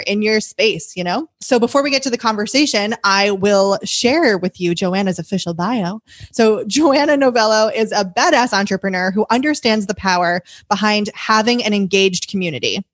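A woman's voice: medium pitch, dry recording, clean studio sound. A woman hosting a podcast, chatting casually with a guest and laughing often. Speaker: podcast host. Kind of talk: casual interview chat.

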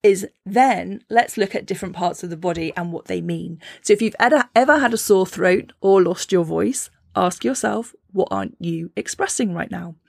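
A woman's voice: fairly low pitch, moderate pace, creaky, hardly any pauses, dry recording, studio quality. A woman hosting a podcast, telling a personal story in a chatty way, laughing often and acting out her own thoughts. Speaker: podcast host.

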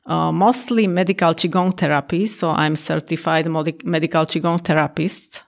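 A woman's voice: low-pitched, creaky. A woman from Slovakia with a Slovak accent, talking as an interview guest about her teaching, hesitant with many fillers. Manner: hesitant with fillers, laughs often. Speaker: guest, Tai Chi and Qigong teacher. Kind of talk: casual interview talk.

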